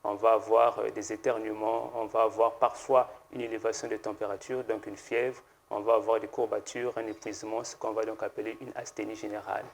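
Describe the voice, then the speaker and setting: A man, a pharmacist guest on a TV studio set, explaining slowly and hesitantly with many fillers and restarts. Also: lowish voice, level tone, creaky. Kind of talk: explanatory TV interview answers.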